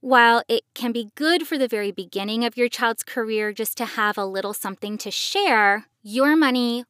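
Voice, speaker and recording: A woman's voice: fairly high pitch, normal speed, fairly bright tone, no echo, clean studio sound. A woman giving casual, reassuring, explanatory advice as a podcast host. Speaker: podcast host, professional voice actor.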